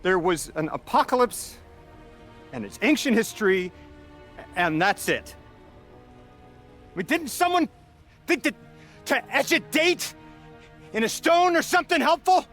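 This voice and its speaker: An earnest game hero lost out of his time. His voice has a bright, pressing edge and an emotionally open, naturalistic delivery. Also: extremely high pitch, expressive, unhurried, pauses often, faint music behind, exaggerated voice. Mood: distraught, incredulous. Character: earnest, displaced man from the past, a polyglot. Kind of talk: naturalistic video game cutscene acting.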